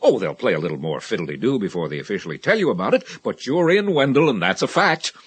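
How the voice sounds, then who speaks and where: An eager political aide in a radio play. His husky voice swings widely as he presses his case with insistent familiarity. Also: lowish voice, sing-song, fast talker. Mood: jubilant. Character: Lewis, eager persuasive political aide to a judge. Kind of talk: 1980 radio drama acting.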